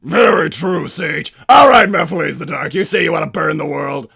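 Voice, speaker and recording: A man playing a pompous, irritable cartoon villain scientist and doting father, raspy and theatrical, bantering in character on a livestream. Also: on the high side, normal speed, pushed and bright, exaggerated voice. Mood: flustered, theatrical. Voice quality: raspy, gravelly. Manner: theatrical, blustering, stammers when flustered. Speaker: livestream host reading donations.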